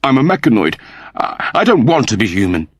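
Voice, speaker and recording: A man's voice: medium pitch, sing-song, fairly quick, dry recording. A man voicing a fussy, earnest mechanoid turned human in an audiobook, with a plaintive, pedantic manner. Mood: wounded, earnest.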